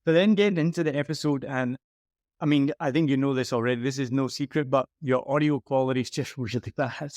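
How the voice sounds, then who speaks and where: Man, podcast consultant, frank and advising, mid pitch, dry close sound. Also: a little fast, a little mellow, no echo. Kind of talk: casual critique.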